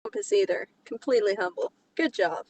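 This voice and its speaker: A woman's voice: medium pitch, lively intonation, brisk, a little mellow, no room sound. A woman from Texas reading a story aloud as a co-host, with playful, sarcastic asides that interrupt the reading. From Texas, United States.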